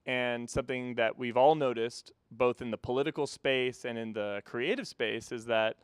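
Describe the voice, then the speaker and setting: Californian man, filmmaker in an interview. Smooth voice, close and dry sound, measured delivery. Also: mid-range voice, fairly even intonation, no echo. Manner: measured, explanatory, some fillers. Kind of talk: casual interview answers.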